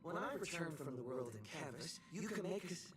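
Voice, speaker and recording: A man's voice: fairly high pitch, animated, a little fast, distant mic, music in the background, close to an everyday voice. An actor playing an easygoing, friendly young male companion in a video game. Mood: friendly, agreeable. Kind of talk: scripted video game dialogue.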